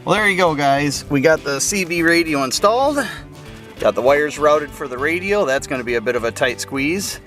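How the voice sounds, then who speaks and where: A man's voice: a little high, expressive, normal speed, a bit bright, faint music behind. Casual man explaining a hands-on car project. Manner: informal, hands-on explaining, addressing viewers. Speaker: car restoration vlogger. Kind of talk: casual do-it-yourself vlog narration.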